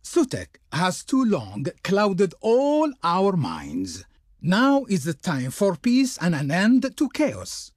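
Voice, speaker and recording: Haughty ancient egyptian diplomat from a strategy game, super pompous n florid, voice swoops all over, close dry studio sound. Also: male voice, high voice, wildly swooping pitch, slow pace, dry recording, heavily acted. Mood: earnest pleading. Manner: pompous, declamatory, ornate. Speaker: video game voice actor. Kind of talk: theatrical historical strategy game diplomacy lines.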